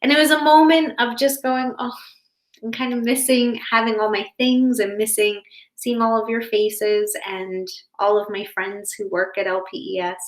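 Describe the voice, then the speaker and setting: A woman with a fairly high voice speaks to young children as a school educator would. She keeps her explanations simple and gentle, chatting and reassuring as she goes. The sound is clearly echoey, like a home office with bare walls. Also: little pitch movement, moderate pace. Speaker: school educator reading stories to children online.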